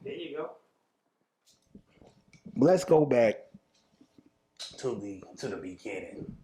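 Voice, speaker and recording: A man's voice: medium pitch, very animated, slow, dark tone. An American man hosting a casual interview show, asking questions in an informal, slangy, conversational way.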